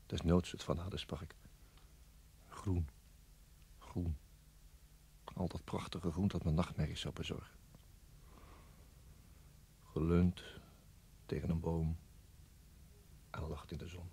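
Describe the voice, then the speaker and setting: A haunted, brooding man in a radio drama monologue. The voice is low and very husky, dark in tone, slow and flat. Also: full character voice. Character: haunted, brooding man recalling nightmarish images.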